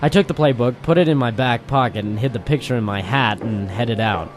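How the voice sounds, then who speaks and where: A man's voice: medium pitch, fairly quick, natural voice. Man playing a hardboiled private eye over music. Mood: wry, tense narration. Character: hardboiled male private detective, weary, wry and accusing. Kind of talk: noir detective radio drama, first-person narration.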